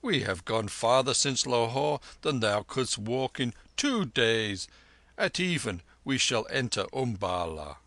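A boastful middle-aged banker holds forth loudly and patronisingly, as a man reads him. The voice is bright and gravelly. It sounds close and dry. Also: medium pitch, lively intonation, a little slow, no echo. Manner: loud, proud, patronising.

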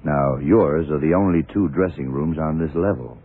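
An actor playing a blunt, self-assured police detective in a 1970s radio drama, questioning deliberately and methodically. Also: male voice, very deep voice, husky, phone-quality sound. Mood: accusing, assured. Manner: deliberate, probing, punctuated with yeah and right. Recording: noisy, band-limited old radio recording.

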